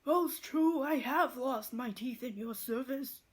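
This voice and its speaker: Lady doing a gentle old servant man, really high pitched, slow, earnest, shakespeare audio play. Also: breathy edge, full character voice. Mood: hurt, rueful. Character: loyal, gentle, wronged old manservant. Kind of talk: Shakespearean radio play acting.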